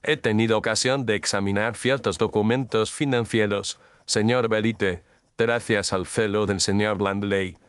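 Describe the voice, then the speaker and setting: A man playing a composed, incisive detective in an audio drama, speaking formally and with measured declamation. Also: lowish voice, a bit bright, clean tone, no echo, clean studio sound, lightly acted.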